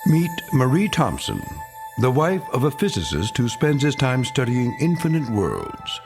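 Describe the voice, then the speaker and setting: Wry anthology narrator, varied pitch. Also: male voice, wildly swooping pitch, unhurried, over music. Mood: wry, ominous. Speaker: narrator and host. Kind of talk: scripted dramatic narration.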